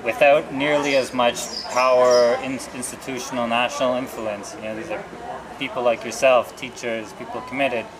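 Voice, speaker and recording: A man interviewing, speaking reflectively and a little haltingly as he searches for words. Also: medium pitch, steady tone, rough recording. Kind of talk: conversational interview. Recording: busy crowd noise behind. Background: crowd chatter.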